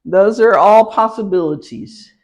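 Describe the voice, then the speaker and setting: A woman teaching a citizenship class, talking slowly and repeating each word for her learners. She is patient and laughs lightly between points. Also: medium pitch, darkish tone, highs cut off. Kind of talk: classroom pronunciation lesson.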